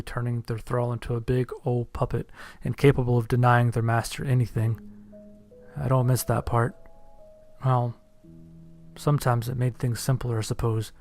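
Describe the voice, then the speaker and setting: A man narrating a first-person horror story from a script in a measured, matter-of-fact way. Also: medium pitch.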